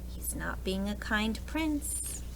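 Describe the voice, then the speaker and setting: A woman teacher reading a storybook aloud to young children in an animated style. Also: medium pitch, slow pace, gravelly, noisy background.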